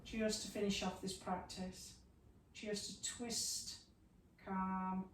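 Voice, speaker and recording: A woman teaching a yoga class, giving slow, soothing guidance through stretches and a guided relaxation. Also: mid-range voice, level tone. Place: room, recorded at a distance from the camera mic. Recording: echoey room, mic at a distance, faint hiss.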